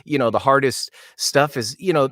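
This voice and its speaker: A middle-aged man, a voice actor, chatting as an interview guest and telling stories with animated enthusiasm over a video call microphone. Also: mid-range voice, moderate pace, no room sound, clean studio sound. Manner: animated, enthusiastic storytelling with frequent restarts. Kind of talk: casual interview chat.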